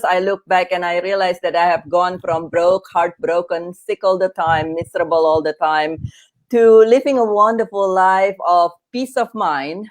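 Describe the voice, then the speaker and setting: An earnest middle-aged woman, Indonesian by birth, speaks in a somewhat low voice. She chats as a podcast guest, filling her sentences with ums and restarting her thoughts. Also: low-quality recording. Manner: earnest and chatty, many fillers and restarts. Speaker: guest, veterinary oncologist and grief coach. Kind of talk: podcast interview.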